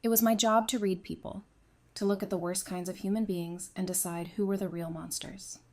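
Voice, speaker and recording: A woman's voice: mid-range voice, fairly even intonation, a little fast, a little mellow, close to an everyday voice. A woman in an audio drama playing a composed, perceptive psychiatrist turned survivor, earnest and self-assured. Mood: confident.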